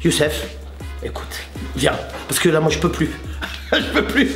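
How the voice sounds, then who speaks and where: A man hosting a casual interview, effusive and warm in his thanks, often restarting his sentences. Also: a little high, very animated, measured pace, reverberant, soft background music. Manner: effusive, repeats thanks and compliments, many restarts. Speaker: interviewer and channel host.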